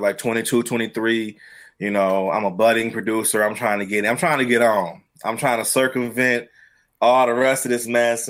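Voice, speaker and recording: A man's voice: lowish voice, a bit bright. American man, colloquial and emphatic. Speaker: musician and producer, podcast guest. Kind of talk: casual storytelling and advice.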